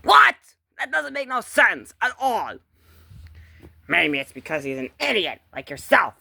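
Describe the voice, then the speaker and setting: A performer voicing a bossy, foul-mouthed bully character in an improvised comedic skit, brash, scolding and given to yelling. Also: medium pitch, sing-song, a little slow, gravelly, slightly rough, no echo, full character voice. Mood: scornful.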